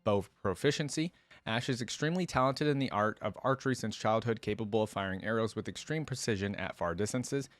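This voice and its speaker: Low-voiced man in casual reaction commentary. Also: brisk, no room sound. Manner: informal, interjects own thoughts while reading. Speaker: reaction video host. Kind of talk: casual reaction commentary, reading lore aloud with asides.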